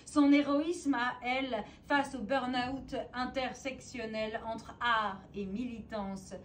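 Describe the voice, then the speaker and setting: A woman with a fairly high voice delivers a theatrical spoken-word poem. She declaims very slowly, placing dramatic pauses and emphasis between phrases. Faint ambient noise sits behind her. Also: fairly even intonation. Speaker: poet and stage performer.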